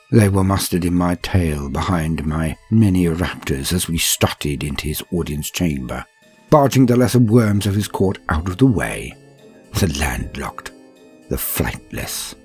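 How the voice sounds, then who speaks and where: A man voicing a cruel, gloating winged warrior in a dramatic first-person story, husky and breathy, with a haughty, menacing, theatrical delivery. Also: low voice, a little mellow, heavily acted.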